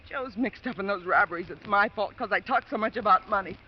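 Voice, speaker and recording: A woman's voice: mid-range voice, brisk, lightly acted. A woman playing an anxious, evasive working-class housewife in 1950s radio drama, with a defensive, plaintive, colloquial way of talking. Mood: distraught. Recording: muffled noisy old radio broadcast recording.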